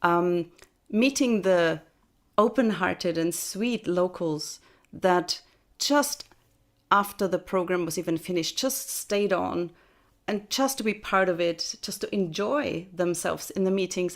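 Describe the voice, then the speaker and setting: A woman's voice: lowish voice, animated, measured pace. A woman from Austria speaking on a video call as a guest speaker, chatty and laughing often. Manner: chatty, laughs often, restarts mid-phrase. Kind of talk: casual spoken reflection. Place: home in Texas, early morning.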